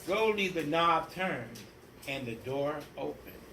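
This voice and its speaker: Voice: medium pitch, expressive, slow, bright, forceful tone. A storybook reader doing a theatrical, emphatic read-aloud of a children's picture book. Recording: echoey room, distant mic, faint noise.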